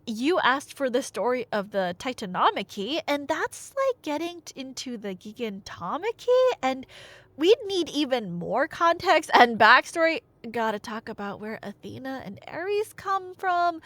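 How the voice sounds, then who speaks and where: A woman's voice: sits high, no echo. A woman telling a story in a casual, rambling, conversational way, with wry asides. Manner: animated, conversational, wry asides and rhetorical questions. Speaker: audio roleplay storyteller, playing a nerdy girlfriend.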